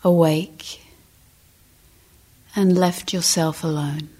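A woman meditation teacher giving guided instruction, speaking gently and deliberately in short phrases with long pauses. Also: lowish voice, steady tone, slightly rough.

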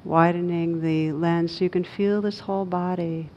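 A woman leads a guided meditation in a low voice that stays very even. Her phrasing is gentle and spacious, with short instructions set apart by pauses. The recording is slightly dull. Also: a little hiss or hum. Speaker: meditation teacher.

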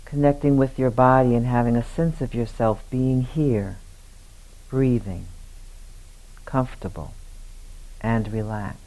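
A man leading a guided meditation as a teacher, speaking gently in short, unhurried phrases with long pauses. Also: medium pitch, fairly even intonation, low-quality recording.

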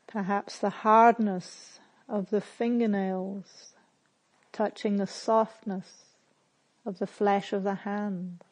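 A woman leading a guided meditation as a teacher, speaking gently and with measured, spare phrases separated by long silences. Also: medium pitch, flat delivery, poor audio. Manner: gentle, measured, softly instructive.

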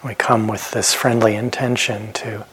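Slow, husky man guiding a meditation. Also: lowish voice, flat delivery, rough recording. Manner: gentle, unhurried, with pauses and soft trailing phrases. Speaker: meditation teacher. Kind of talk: guided meditation instruction. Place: meditation retreat center.

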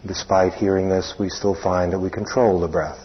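A man with a deep, husky voice guides a meditation. He speaks in short, plain phrases and leaves long pauses between them. The recording is dull and muffled. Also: level tone. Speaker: meditation teacher. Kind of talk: guided meditation instruction, spoken live.

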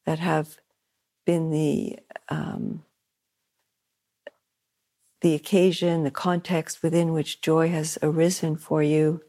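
Slow, mellow woman guiding meditation. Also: low-pitched, creaky. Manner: soft-spoken with long pauses, repeating phrases. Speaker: meditation teacher. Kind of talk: guided meditation. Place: meditation room at a retreat center.

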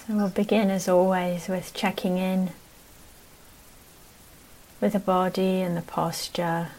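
A woman meditation teacher leading a guided practice in a gentle, unhurried way, speaking in short phrases with long pauses. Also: fairly low pitch, little pitch movement, rough recording.

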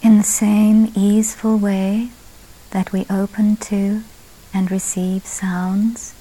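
A woman guides a meditation at a very slow pace. Her voice is mellow and relaxed, with little rise and fall in pitch. She speaks in sparse phrases that trail into soft, breathy endings. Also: rough recording. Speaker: meditation teacher.

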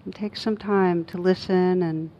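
A woman guiding a meditation as a teacher, speaking gently and unhurriedly in short phrases with long pauses. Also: steady tone. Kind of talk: guided meditation. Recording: dull muffled recording with faint rumbling noise.

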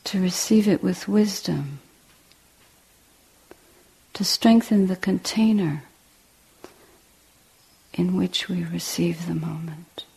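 A woman guides a meditation in a hushed, lowish voice with a gravelly creak. She speaks in short, deliberate phrases with long pauses between them. The tone is soft and mellow, often breathy and almost whispered. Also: low-quality recording. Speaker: meditation teacher.

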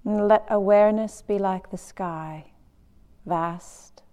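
A woman leading a guided meditation as a teacher, calm and unhurried, with long pauses between short phrases. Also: mid-range voice, highs cut off.

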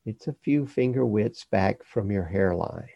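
Low, gravelly man guiding meditation. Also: expressive, warm tone, dry recording, dull audio. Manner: measured, instructional, short phrases with pauses. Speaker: meditation teacher. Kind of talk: guided body scan meditation instruction.

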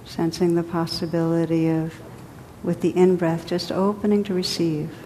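A woman teaching a guided meditation, speaking gently and unhurriedly in short phrases with pauses between them. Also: level tone. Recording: echoey hall, rumbly background noise.

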